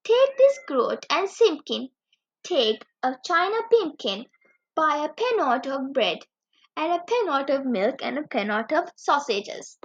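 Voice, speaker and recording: Lady doing a worn out poor tailor from a storybook, fretting, high swoopy voice, muffled audio. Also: big pitch swings, a little slow, exaggerated voice. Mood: weary, pleading. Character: poor, weary male tailor, fretful and muttering. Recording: dull lo-fi recording.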